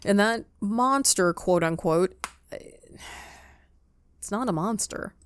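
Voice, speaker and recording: Woman gamer talking to herself as she plays, slow and halting, normal mid pitch, casual. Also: clean tone, no room sound. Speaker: game player. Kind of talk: casual gameplay commentary, thinking aloud.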